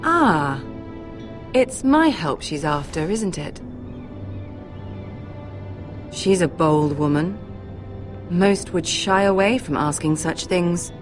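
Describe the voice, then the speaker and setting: A courtly young noble lady, measured n arch, lowish voice, fantasy game, soft music under it. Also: expressive. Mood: cool, guarded. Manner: courtly, measured, archly polite. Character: poised, shrewd young noblewoman, gracious but calculating. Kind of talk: dramatic fantasy game acting.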